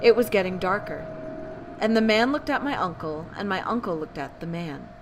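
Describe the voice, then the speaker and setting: A woman's voice: medium pitch, normal speed, noisy background. A woman narrating scary tales as a bedtime storyteller, theatrical and full of suspense. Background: background music.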